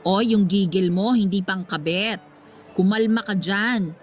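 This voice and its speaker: An actress playing a level-headed, wry mistress in a Filipino radio drama, talking in a conversational, pragmatic way. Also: female voice, medium pitch, unhurried, faint music behind, natural voice. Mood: teasing, pragmatic.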